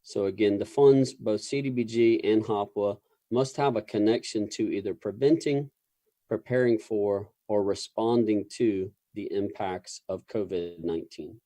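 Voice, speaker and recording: Mellow low-voiced man, formal presenter. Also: measured pace, dry recording. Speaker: public official presenter.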